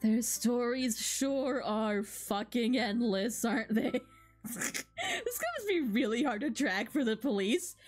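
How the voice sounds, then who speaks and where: A woman doing playful, excitable live game commentary and reading dialogue aloud with mock drama. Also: fairly high pitch, slow, soft background music. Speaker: game streamer.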